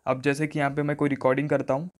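A man's voice: fairly high pitch, little pitch movement, racing. A man giving an instructional phone tutorial voice-over, often repeating stock phrases. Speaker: tech tutorial host.

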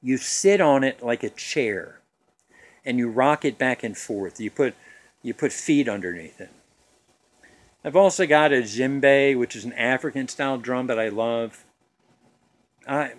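A man in his forties, talking in a jokey, rambling, self-deprecating way while filming himself on a phone in a suburban front yard. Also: mid-range voice. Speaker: vlogger. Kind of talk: casual rambling vlog. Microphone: phone camera at arm's length.

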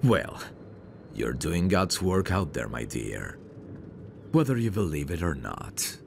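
Man playing very deep, gravelly suave mafia heir. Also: sing-song, slow pace, background noise, full character voice. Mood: warm, admiring. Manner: smooth-talking, courtly, flirtatious. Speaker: voice actor. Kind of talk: ASMR roleplay, intimate one-sided dialogue.

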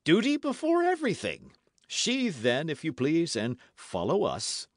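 A man voicing a pompous, officious officer of the guards who gives loud, commanding calls. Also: on the high side, huge pitch swings, measured pace, no room sound, studio quality, voice pushed up, full character voice.

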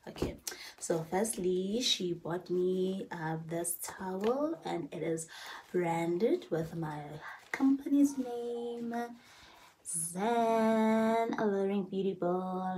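A woman's voice: fairly low pitch, noisy background. A woman, chatting casually through a haul vlog in her bedroom, repeating phrases and slipping into Zulu words. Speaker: vlogger. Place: bedroom, indoors. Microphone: phone camera at arm's length.

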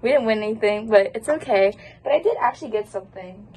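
A woman's voice: mid-range voice, quick pace, darkish tone, husky, poor audio. A young woman vlogging casually to her phone camera in a dorm room, bubbly and chatty, often giggling. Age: young adult. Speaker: student vlogger. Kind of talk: casual vlog chat to camera. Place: college dorm room, indoors. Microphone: phone held at arm's length.

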